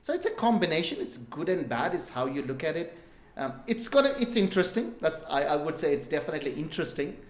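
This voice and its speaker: A man's voice: fairly high pitch, big pitch swings, fast talker. Indian guy w a bit of aussie accent, rambly jokey storyteller, echoey room. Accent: Indian English with Australian influence. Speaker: guest entrepreneur. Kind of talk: casual interview talk. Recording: roomy echo.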